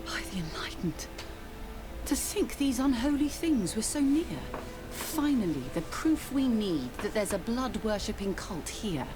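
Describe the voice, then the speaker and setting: An actress playing a devout, formal sister of a religious order in a video game, speaking earnestly. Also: female voice, a little high, very animated, unhurried. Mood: appalled, resolved. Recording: game music behind voice.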